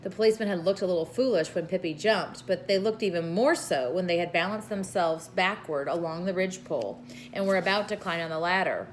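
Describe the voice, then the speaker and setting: A woman's voice: on the low side, a little fast, slight background noise. A woman reading a children's story aloud as an informal storyteller. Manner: informal, with brief asides to listeners.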